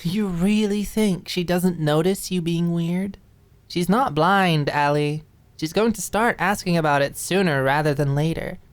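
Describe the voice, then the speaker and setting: A woman's voice: fairly low pitch, a little slow, pitched above the performer's own voice, natural voice. A woman voicing a spunky, blunt young woman in an audiobook drama, exasperated and stern with her friend. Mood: teasing exasperation. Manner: stern, teasing, reprimanding.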